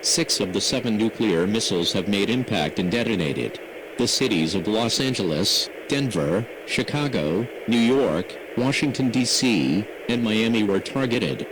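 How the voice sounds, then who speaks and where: A man reading a scripted emergency broadcast announcement in a formal, measured, impersonal manner. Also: fairly low pitch, expressive. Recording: noisy emergency radio broadcast audio.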